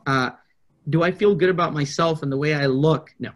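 Higher-pitched man on a video call. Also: brisk. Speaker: prospective coaching client, public speaker. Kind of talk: conversational sales video call. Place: small indoor office. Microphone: video call, computer microphone.

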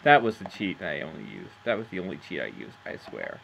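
A man's voice: low voice, very animated, brisk, creaky, fairly bright tone, a little hiss or hum. Rambling man narrating his gameplay. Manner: rambling, self-amused, loses his train of thought. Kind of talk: casual game commentary.

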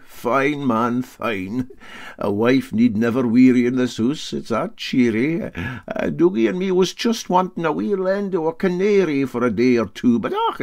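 A man's voice: medium pitch, gravelly. A man voicing a canny, genial and boastful Scottish boat skipper with a sly, sing-song drawl, in a storybook reading. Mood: blandly polite.

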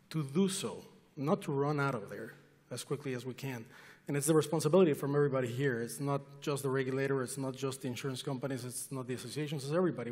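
A man's voice: mid-range voice, a little mellow. A man in his forties, an industry association chair, making an earnest, insistent case on a conference panel. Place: large conference hall stage. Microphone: headset mic. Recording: long hall reverb.

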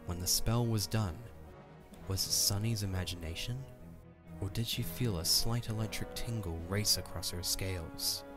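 A man's voice: deep voice, normal speed. A man reading scripted narration for a fantasy audio drama in storybook style. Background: faint music.

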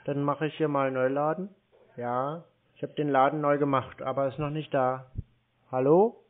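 A man's voice: mid-range voice, little pitch movement, normal speed. A man with a mid-range voice plays the naive customer on a prank call. He hesitates and rambles as he talks. His voice comes through a muffled, narrow phone line. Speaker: prank caller posing as a customer. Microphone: telephone.

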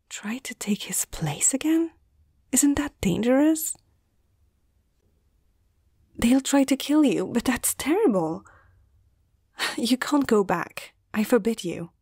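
Woman acting as a breathy, hushed, caring young vet. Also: mid-range voice, no echo, clean studio sound, heavily acted. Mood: alarmed, protective. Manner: gentle, slow, caring, hesitant. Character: caring, curious young woman veterinarian tending a patient. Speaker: ASMR roleplay performer. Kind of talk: soft ASMR roleplay, partly whispered.

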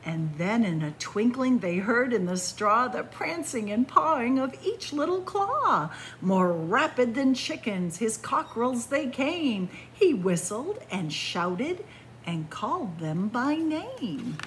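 A middle-aged woman reading a picture book aloud to children in an animated, deliberate storytelling manner. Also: a little high, a little hiss or hum. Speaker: library story-time reader. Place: indoors in a library among bookshelves.

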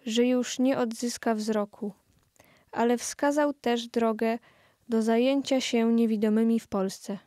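A woman's voice: a little high, fairly even intonation, unhurried, mellow, dry recording, studio quality. A woman giving scripted storytelling narration in a radio play.

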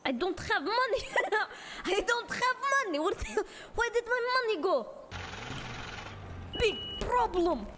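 A woman's voice: very high-pitched, sing-song, measured pace, noisy background, full character voice. A young woman streamer voicing a brash, scheming woman hustler who talks in blunt, broken English, recorded on a desk mic with a headset in a small home room. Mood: pushy. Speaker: roleplay streamer. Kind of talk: live game roleplay. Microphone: desk microphone with headset.

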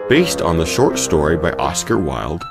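Deep male announcer over guitar music. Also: very animated, normal speed, creaky. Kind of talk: scripted programme introduction. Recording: loud plucked guitar music behind.

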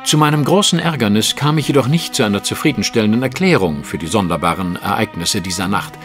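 A shrewd, impatient detective of the gentlemanly sort in an audio drama. He speaks formally and precisely, with a widely moving pitch and faint music behind him. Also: lively intonation, fast. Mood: measured recounting. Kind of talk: German audio drama acting.

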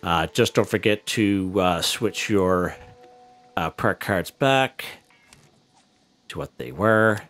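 A man hosting a casual gameplay tutorial, relaxed and step by step, who is losing his voice. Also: low voice, slow, long pauses. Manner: relaxed, walks viewers through step by step. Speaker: gaming channel host.

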